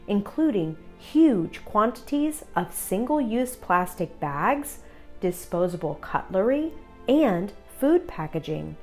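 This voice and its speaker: A woman narrating a children's read-aloud in a deliberate, instructive manner. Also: mid-range voice. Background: music behind the voice.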